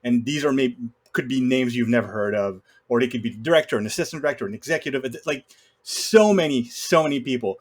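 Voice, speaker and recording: A man's voice: medium pitch, quick pace. A man talking casually about films as a podcast panelist, opinionated and fond of acting out imagined quotes to make his points. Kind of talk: casual film discussion.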